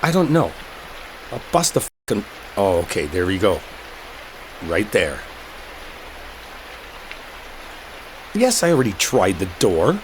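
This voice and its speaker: Male actor as stubborn, impatient, sardonic man in roleplay drama; lowish, lively delivery, rainy background. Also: moderate pace, slight background noise, poor audio. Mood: sarcastic. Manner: impatient, sardonic, conversational, with mild swearing. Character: exasperated, sarcastic, stubborn man stranded in a rainstorm. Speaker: audio roleplay performer. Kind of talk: dramatic first-person roleplay audio. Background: rain storm ambience.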